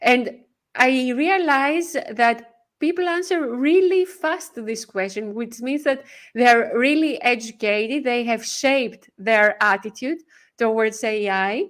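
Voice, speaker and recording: A woman hosting a live podcast interview, speaking briskly with frequent filler pauses. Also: a little high, fairly bright tone. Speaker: podcast host.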